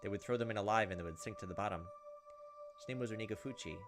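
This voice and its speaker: A man's voice: fairly low pitch, rapid-fire, over music. A man hosting a gaming video, reading a visual novel aloud as dramatic storytelling and breaking off for casual, off-the-cuff asides.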